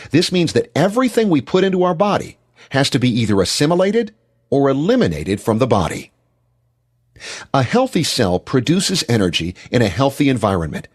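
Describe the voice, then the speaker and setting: A male narrator delivers scripted, instructional material in a middle-pitched voice. His tone has a slightly rough, husky texture. The pitch moves widely as he stresses key words with deliberate emphasis.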